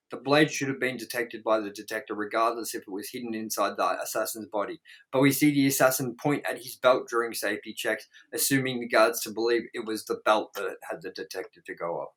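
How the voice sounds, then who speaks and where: Sarcastic male review host, bright voice. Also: mid-range voice. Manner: sarcastic, exasperated ranting. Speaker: host of a movie review show. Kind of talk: casual, profane movie review banter.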